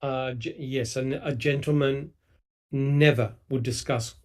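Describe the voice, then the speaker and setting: A man's voice: mid-range voice, steady tone, slow pace. A man co-hosting a podcast, talking heatedly and emphatically, swearing and mocking people with quoted lines. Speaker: podcast co-host. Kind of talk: casual true-crime discussion.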